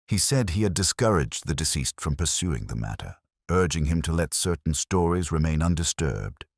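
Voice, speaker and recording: Very deep-voiced man narrating a mystery. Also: dry recording, clean studio sound. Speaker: narrator of a detective story in the first person. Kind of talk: scripted literary mystery narration.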